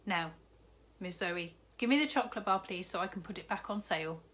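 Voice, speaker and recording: A woman voicing a big, jolly, kindly male shopkeeper in a storybook reading, warm and fatherly. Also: on the low side, a little fast, a little hiss or hum, close to an everyday voice.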